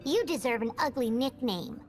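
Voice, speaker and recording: A woman voicing a tiny, cheeky, excitable childlike fairy companion in a video game, with a teasing way of talking. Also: on the high side, normal speed, faint music behind, natural voice. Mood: teasing, indignant. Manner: talks about self in third person, teasing.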